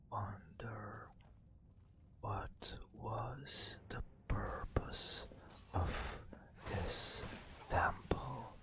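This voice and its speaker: A man softly narrating a fantasy game adventure as ASMR, in a breathy whisper with hushed, dramatic phrasing and long pauses. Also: on the low side. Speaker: ASMR gaming host. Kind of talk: soft-spoken ASMR storytelling over fantasy gameplay.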